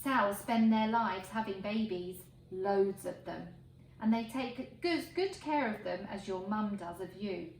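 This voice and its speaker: A woman reading a picture book aloud to children in an expressive, emphatic way. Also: medium pitch, a little slow. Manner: expressive, emphatic phrasing for young listeners. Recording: roomy echo, faint background noise.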